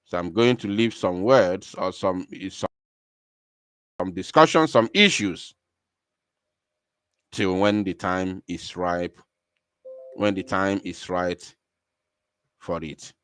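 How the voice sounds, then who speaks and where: Smooth-voiced Nigerian man hosting a live broadcast. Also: low-pitched, takes it slowly, long pauses, a bit bright, no room sound, studio quality. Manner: emphatic, repeats phrases for stress. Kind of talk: live political call-in broadcast, news commentary.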